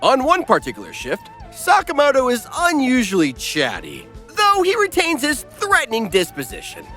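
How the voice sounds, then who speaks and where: A man's voice: very high voice, huge pitch swings, moderate pace, pushed and bright, faint music behind. A man delivering flippant, irreverent comedic scripted recap narration.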